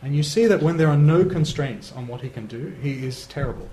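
Man, academic lecturer, measured and analytical, roomy hall sound, faint hiss. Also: a little high, mellow. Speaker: lecturer on Iran.

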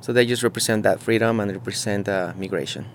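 A man with a fairly low voice, speaking haltingly as he searches for his words. He is recorded outdoors on a field recorder, with faint traffic in the background. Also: fairly even intonation. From Mexico. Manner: halting, searching for words, many fillers and restarts. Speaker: muralist interviewee. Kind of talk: casual on-site interview. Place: outdoors beside a mural.

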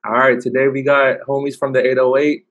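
A man's voice: medium pitch, little pitch movement, brisk, fairly bright tone, highs cut off, a little hiss or hum. A man hosting a podcast interview, talking in a laid-back, slangy way with lots of fillers. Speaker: podcast host. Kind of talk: casual interview chat.

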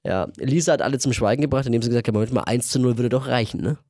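A man's voice: medium pitch, very fast, a little mellow, no echo, studio quality. A man co-hosting a football chat show, joking and teasing his co-hosts. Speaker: co-host, football expert. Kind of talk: casual chat.

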